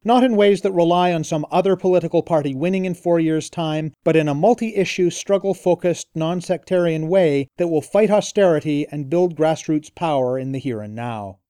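Casting note: male radio host, mellow, slightly high voice, dry studio sound. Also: clear, resonant tone, no echo. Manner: measured, reading an introduction. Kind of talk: scripted narration.

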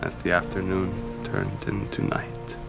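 An actor playing a wistful, reflective man in an intimate audio drama monologue, measured, halting and contemplative. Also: sits low, big pitch swings, gravelly, over loud music, full character voice. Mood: wistful, content. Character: wistful, reflective man quietly confiding to Sarah.

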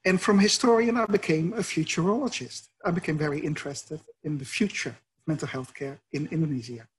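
A man's voice: a little high, a little fast, husky, poor audio. A middle-aged man from the Netherlands with a Dutch accent, a professor answering interview questions in a thoughtful, explanatory way. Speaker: professor and researcher.

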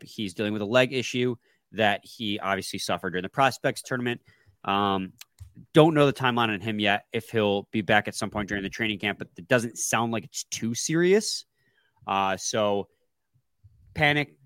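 A man's voice: medium pitch, a bit bright, no echo, clean studio sound. A man with a stuffy, congested voice, hosting a casual sports podcast with laid-back, joking banter. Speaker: podcast co-host.

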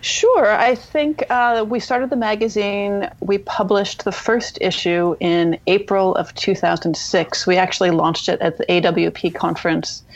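A woman guest on a podcast, answering from a remote location in a measured, reflective way. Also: mid-range voice, steady tone, lo-fi sound. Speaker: guest editor. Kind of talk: podcast interview.

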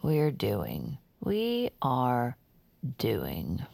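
A woman's voice: very deep voice, wildly swooping pitch, drawn-out words, vocal fry, darkish tone, dry recording, heavily acted. A woman playing a chatty, consoling true-crime podcast host in audio fiction, with an emphatic, theatrical way of talking.

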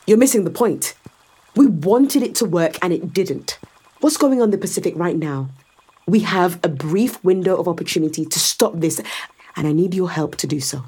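A calculating woman in power for a science fiction radio play. Her voice sits fairly low with a husky edge. She speaks with controlled, sardonic authority, laying out her case persuasively. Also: expressive, normal speed, darkish tone. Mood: insistent, persuasive. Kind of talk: full-cast science fiction audio drama acting.

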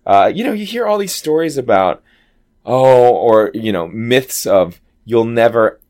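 A man with a low voice, an actor telling stories in a casual interview and acting out quoted lines. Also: unhurried. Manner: animated, chatty, acts out quoted dialogue. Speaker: Broadway actor guest.

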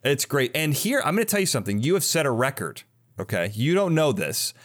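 Man, animated intonation, mid-pitched voice, effusive podcast host, theatrical flourishes, chatty interview style. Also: a little fast. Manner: effusive, theatrical list-like delivery in introductions. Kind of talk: upbeat conversational interview show.

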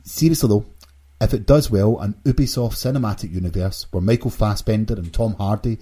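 Man hosting, low and slightly husky. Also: fairly quick, mellow. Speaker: podcast host. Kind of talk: casual podcast banter.

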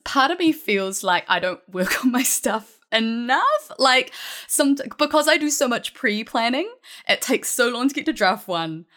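A woman's voice: fairly high pitch, sing-song, measured pace, fairly bright tone. A woman talking casually as a writer guest in a podcast panel discussion.

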